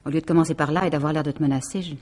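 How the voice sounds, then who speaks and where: An actress playing an estranged wife in a French radio mystery drama, remorseful yet stubborn and insistent, with a husky voice. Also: female voice, sits low, steady tone, rapid-fire, a little mellow. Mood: remorseful, pleading. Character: estranged wife, remorseful but stubborn, pleading then threatening.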